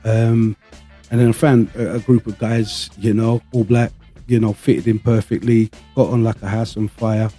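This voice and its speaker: A male podcast host who grew up speaking Greek first. His voice is dark and rough-edged at a middle pitch. He talks casually and openly, pausing to find his words, with faint music behind him. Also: little pitch movement. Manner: halting, candid, searching for words. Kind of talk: casual panel chat.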